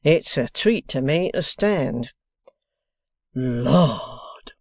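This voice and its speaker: A reader voicing an excitable, uneducated retired old man who exclaims loudly, mangles his words and swings through a wide range of pitch. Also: drawn-out words, no room sound. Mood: eager. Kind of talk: audiobook character reading.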